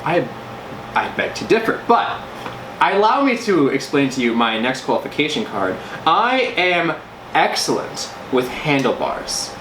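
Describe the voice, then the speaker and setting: A man playing a shady professional thief applying for a job, talking low and mock-formal. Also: big pitch swings, a little slow. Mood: smug. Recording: roomy with background noise.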